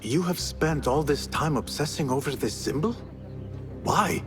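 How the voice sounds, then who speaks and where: An actor playing a troubled, earnest young assassin novice in a video game, speaking formally and in a measured way. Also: male voice, fairly high pitch, lively intonation. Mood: questioning. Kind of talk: dramatic video game acting. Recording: dramatic music behind the voice.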